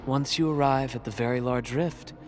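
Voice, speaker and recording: An actor playing a courtly, enigmatic video game villain who professes devotion, with formal, theatrical phrasing. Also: male voice, medium pitch, steady tone, normal speed, darkish tone, close to an everyday voice. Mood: courtly, cryptic. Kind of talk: video game story voice acting. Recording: dramatic music behind the voice. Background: game music.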